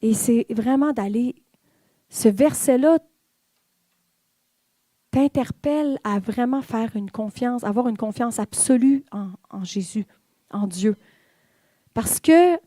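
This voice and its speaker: Woman, Québécois accent, informal sermon, slightly high voice, dry sound. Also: dark tone, no room sound. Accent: Québécois French. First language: French. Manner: conversational, with fillers and whispered asides. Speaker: church speaker. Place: church.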